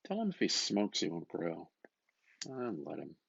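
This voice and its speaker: Guy doing a slow dramatic book reading, really animated pitch, kinda raspy, dry dull recording. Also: no echo. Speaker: reader, artist. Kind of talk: dramatic literary reading aloud.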